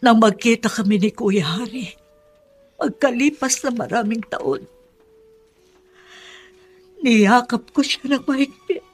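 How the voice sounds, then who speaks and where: A Filipino woman playing a forgiving middle-aged spinster aunt in a radio drama, earnest and gently persuasive. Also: fairly high pitch, takes it slowly, pauses often, breathy edge. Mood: earnest, pleading.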